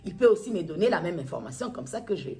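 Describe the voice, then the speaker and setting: A middle-aged woman with a Kinshasa Congolese accent and a somewhat low-pitched voice. She tells her account in her own words, as a mother addressing viewers. Her delivery is halting, with phrases repeated and restated as she goes. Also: sing-song, warm tone, soft background music. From Democratic Republic of the Congo. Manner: halting, repetitive, circles back to restate phrases. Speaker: mother making a public appeal video. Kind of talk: personal testimony to camera, unscripted recounting.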